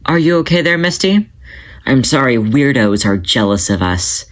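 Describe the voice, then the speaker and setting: A man voicing an affectionate, teasing male tomcat who talks softly and dotingly to his partner, in a fan-made audiobook. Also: mid-range voice, sing-song, moderate pace, slight background noise, natural voice. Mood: concerned. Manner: soft, doting, playfully teasing.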